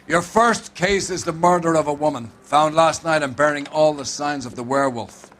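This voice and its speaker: An actor playing a brisk, authoritative police captain briefing his squad in a formal, declamatory manner in a video game. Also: on the high side, fairly bright tone, a little hiss or hum, lightly acted. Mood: commanding. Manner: commanding, declamatory.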